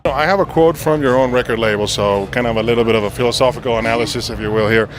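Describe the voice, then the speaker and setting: A man's voice: medium pitch, fast talker, background noise. A man interviewing a band, asking long, winding questions.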